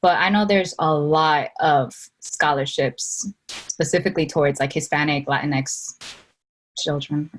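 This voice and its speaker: A young woman from Spain speaks as a college student on a panel. She explains earnestly in casual talk full of "like" fillers. Her voice is notably low for a woman. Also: a little slow, slight background noise. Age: young adult. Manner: earnest, uses many "like" fillers.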